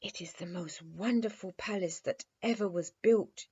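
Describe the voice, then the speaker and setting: A woman's voice: fairly low pitch, moderate pace, warm tone, husky, highs cut off. A woman voicing a dignified, commanding small boy who plays at being king, in a children's storybook reading. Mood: awed satisfaction. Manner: grand, commanding. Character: dignified, imperious little boy prince playing king.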